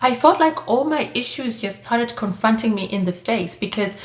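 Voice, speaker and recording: A woman's voice: fairly high pitch, animated, moderate pace. A woman, giving chatty advice in a casual monologue, full of "like" and "you know". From Zimbabwe. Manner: chatty, frequent "like" and "you know", light laughs. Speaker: vlogger giving immigrant advice. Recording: echoey room.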